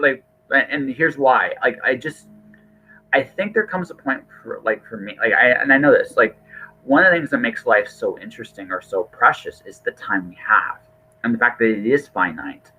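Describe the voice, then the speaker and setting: A man's voice: mid-range voice, bright, forceful tone, husky, low-quality recording. A man talking casually as a podcast host, earnest and rambling, often restarting his phrases.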